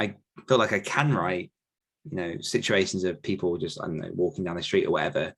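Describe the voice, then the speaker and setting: A man with a fairly low voice talks in a relaxed interview setting. He is reflective about his craft, stopping and restarting as he feels his way toward the right phrase. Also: husky. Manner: thoughtful, halting, searching for words. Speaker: podcast guest author answering questions. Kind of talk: casual reflective interview talk.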